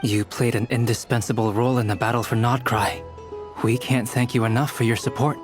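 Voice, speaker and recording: Game casting: man to play a gracious, modest male alchemist, instructive and even-tempered, music underneath. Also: mid-range voice, lightly acted. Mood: calm. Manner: measured, polite, instructive. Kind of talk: video game voice acting.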